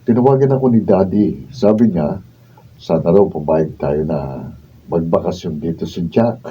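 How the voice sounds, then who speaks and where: An actor playing a stern, protective husband and older brother in a radio drama. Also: male voice, low voice, normal speed, breathy edge. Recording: distant, muffled room recording.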